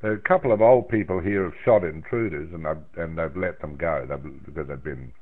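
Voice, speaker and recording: A man's voice: extremely low pitch, a little fast. A man chatting casually over the radio in a blunt, folksy, colloquial way, rambling along. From outside the United States. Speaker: amateur radio operator chatting with friends. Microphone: radio microphone over internet link. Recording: narrow, tinny phone-like radio link.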